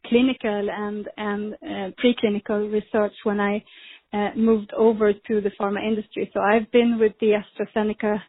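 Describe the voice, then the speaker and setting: A woman, speaking as a guest in a podcast interview, measured with frequent ums and restarts. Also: medium pitch, level tone, slightly rough, dry recording. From Sweden. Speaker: pharma executive guest. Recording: tinny phone-like line.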